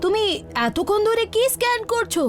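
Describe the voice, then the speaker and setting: A woman's voice: very high voice, fairly quick. A woman voicing a curious, decisive cartoon child hero who questions and gives orders. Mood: concerned, curious. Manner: inquisitive, decisive. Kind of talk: animated cartoon dubbing.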